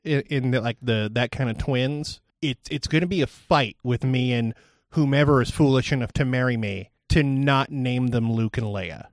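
A man's voice: mid-range voice, normal speed, no room sound, clean studio sound. A man co-hosting a podcast with casual, wisecracking, sometimes profane banter. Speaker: podcast co-host.

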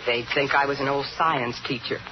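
A woman's voice: extremely low pitch, steady tone, normal speed. Wistful american lady singer, old radio drama, muffled and noisy. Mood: wistful, hesitant. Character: wistful, drifting woman, former band singer. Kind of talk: 1950s radio drama acting.

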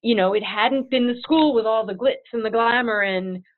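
A woman's voice: a little high, moderate pace. Woman on a tinny phone line. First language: English. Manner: animated storytelling, acts out her own past thoughts. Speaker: head of school. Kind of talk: interview answers, casual and earnest.

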